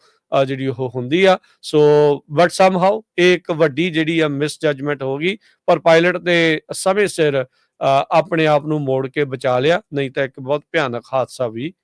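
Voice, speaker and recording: A man with a Punjabi accent presenting a radio news report in a conversational, halting way with frequent hesitations. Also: on the high side, clear, resonant tone, no echo.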